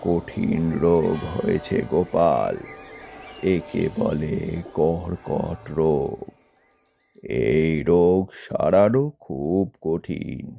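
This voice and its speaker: A man playing a grave, solemn village herbal healer in a cartoon, speaking in deliberate, halting phrases. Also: sits low, creaky, warm tone, slight background noise, exaggerated voice. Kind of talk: cartoon dialogue.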